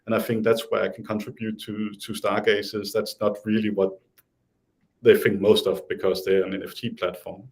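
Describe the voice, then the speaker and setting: Low-voiced man with a Danish accent. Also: level tone, moderate pace, a little mellow. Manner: hesitant, many restarts and fillers. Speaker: guest. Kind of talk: casual interview chat.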